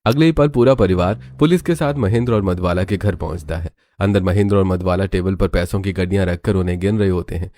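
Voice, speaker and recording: A man's voice: low-pitched, steady tone, fast, few pauses, dark tone, no echo. A man reading scripted, dramatic narration for a cartoon story.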